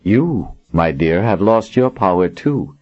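A man's voice: low voice, lively intonation, takes it slowly, a little mellow, heavily acted. A man voicing a cruel, arrogant usurping lord who speaks in a cold, commanding, menacing way. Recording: dull lo-fi audiobook recording.

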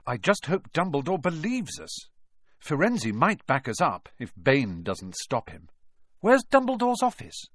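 Man playing an urgent, earnest schoolboy, lively pitch. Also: unhurried, dry recording, heavily acted. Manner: urgent, pleading. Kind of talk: audiobook character voice.

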